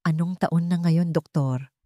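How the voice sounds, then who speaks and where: A Filipino woman voicing a young female patient in a story, fearful and disbelieving, with halting, emotional questions. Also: fairly low pitch, steady tone, dark tone, no echo, clean studio sound, lightly acted. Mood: confused.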